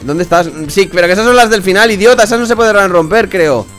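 A young man with a high, quick voice. He talks fast and excitedly over his gameplay, repeating words as he goes. Faint game music plays behind him. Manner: excitable, repeats words, calls partner "compañero". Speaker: gaming youtuber. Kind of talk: casual gameplay commentary.

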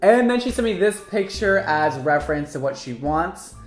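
A man in his twenties giving casual, exclamatory reaction commentary to camera in a campy, animated manner. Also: high voice, normal speed, echoey room, loud music behind the voice. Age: 20s. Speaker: hairdresser and video host. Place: indoor studio with paper backdrop.

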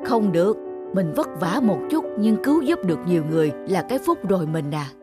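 A man playing a weary older village physician in an animated folk tale, measured, sober, kindly and principled. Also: sits high, loud music behind the voice. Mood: sober, caring.

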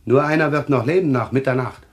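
A man's voice: mid-range voice, fast, close to an everyday voice. An actor playing a desperate, agitated man who calls himself a prophet, pleading insistently in a German thriller radio play. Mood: grave warning.

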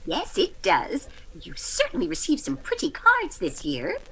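A woman playing a kindly, forgetful elderly landlady who rambles absent-mindedly in an old-time radio comedy, with a breathy, fluttery voice. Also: high-pitched, wildly swooping pitch, measured pace, sharp tone, full character voice, low-quality recording. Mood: pleasant, curious.

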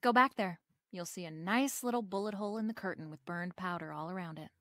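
A woman's voice: lowish voice, fairly quick, bright, forceful tone, dry recording, studio quality. An actress playing a wry, confident female private detective in a radio drama, with a breezy, slangy, wisecracking delivery. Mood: wry, explaining.

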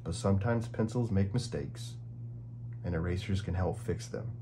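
A man narrating a children's picture book aloud with expressive, deliberate phrasing. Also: low-pitched, background noise. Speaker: children's story reader. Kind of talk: storybook read-aloud narration.

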